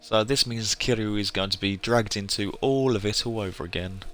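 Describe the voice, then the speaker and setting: A man's voice: on the low side, normal speed, fairly bright tone. A man chatting casually as he livestreams a game, in a polite, reassuring and rambling way. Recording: music behind the voice. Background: game music.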